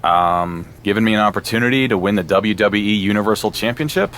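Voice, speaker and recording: A young underdog wrestler, voiced by an actor in a video game, dry and wry but determined. His voice sits low, with faint background ambience. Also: fairly quick, a bit bright, a little hiss or hum, natural voice.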